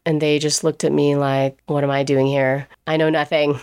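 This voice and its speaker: A woman's voice: low voice, flat delivery, moderate pace, darkish tone, smooth. A woman who grew up in England, a pastry chef, telling her own story in a chatty way, quoting the people she met and laughing often. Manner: chatty, laughs often, quotes others in dialogue. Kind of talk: personal storytelling monologue.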